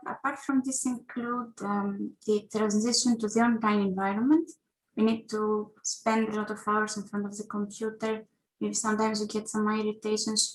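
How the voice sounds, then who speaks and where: A young adult woman speaks as a student panelist in a medium-pitched voice. Her delivery is earnest and formal, with carefully prepared phrasing, as if presenting advice to newcomers. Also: poor audio. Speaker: international university student, panelist.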